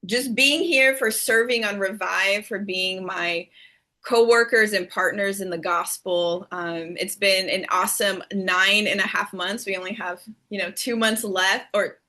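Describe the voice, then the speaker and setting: Bright-voiced woman hosting a call. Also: medium pitch. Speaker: interviewer hosting a group call. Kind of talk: casual group video-call interview. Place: video call.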